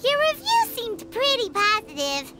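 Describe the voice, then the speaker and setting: A woman's voice: extremely high pitch, wildly swooping pitch, unhurried, full character voice. A woman voicing a tiny, chirpy, blunt childlike fairy companion in a video game, exclaiming freely. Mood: chatty, opinionated. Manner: refers to self in third person, exclamatory. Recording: loud music behind. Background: music.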